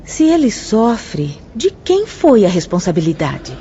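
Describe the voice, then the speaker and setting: A woman's voice: mid-range voice, sing-song, measured pace, dark tone, a little hiss or hum. An actress playing a solemn, earnest female spirit instructor in a Brazilian radio drama, with formal, declamatory delivery. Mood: probing, grave. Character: solemn female spirit instructor, grave maternal moral guide. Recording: slightly dull audio with music underneath. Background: background music.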